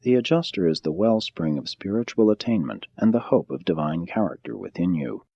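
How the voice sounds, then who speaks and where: A man reading a spiritual audiobook in a measured, solemn and formal manner. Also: low-pitched, lively intonation, vocal fry, dark tone, breathy edge, no room sound, dull audio. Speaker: audiobook narrator. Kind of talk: scripted audiobook narration of a spiritual text.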